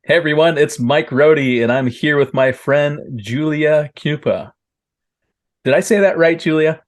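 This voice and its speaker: A man's voice: fairly high pitch, unhurried. Friendly male podcast host. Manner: friendly, curious questioner. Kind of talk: podcast interview hosting.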